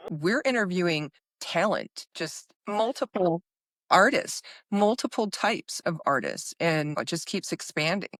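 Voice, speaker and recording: A woman's voice: lowish voice, animated, a little slow, fairly bright tone, no echo. Encouraging woman hosting, dry close sound. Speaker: podcast host. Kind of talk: casual interview chat.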